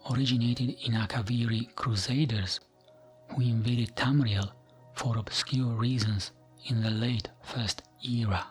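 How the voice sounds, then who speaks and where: A man reading game lore as gentle, soothing ASMR narration, soft-spoken and breathy at the edges, pausing often between phrases. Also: mid-range voice. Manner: gentle, soothing, measured with frequent pauses. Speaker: narrator of gaming lore videos. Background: background music.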